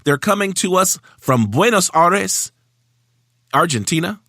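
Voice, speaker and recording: A man's voice: high voice, animated, unhurried, sharp tone, no room sound, clean studio sound. A man hosting a casual podcast, reading out a fight card, joking and laughing as he stumbles over names. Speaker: podcast host.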